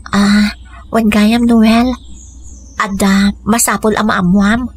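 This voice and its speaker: A woman's voice: mid-range voice, little pitch movement, slow pace, husky. An actress playing a devoted young activist woman in love, speaking sentimentally with an Ilocano accent in a radio drama. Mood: tender. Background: music under the dialogue.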